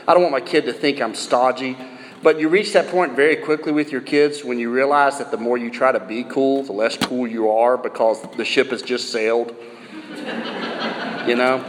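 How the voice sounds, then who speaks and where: A middle-aged American man preaching a sermon in an emphatic yet conversational way, repeating phrases and posing rhetorical questions. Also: mid-range voice. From United States. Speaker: pastor preaching to a church. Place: large indoor room, live sermon. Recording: roomy hall reverb, some background noise.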